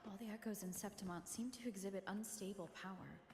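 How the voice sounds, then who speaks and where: An actress as an echoing, watchful game heroine. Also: female voice, mid-range voice, fast, natural voice. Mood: pensive, uneasy. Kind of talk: video game voice acting. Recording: roomy reverb over game music.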